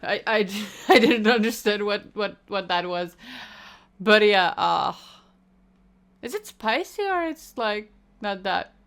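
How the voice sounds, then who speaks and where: A woman reacts casually to a video as its host. She speaks slowly and hesitantly in a medium-pitched voice, unscripted and inclined to giggle. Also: animated, a bit bright, clean tone.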